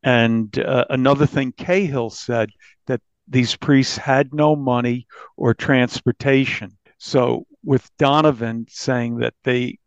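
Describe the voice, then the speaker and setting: A man's voice: mid-range voice, dry recording, lo-fi sound. A man speaking as an interviewed newspaper reporter, explaining his reporting conversationally.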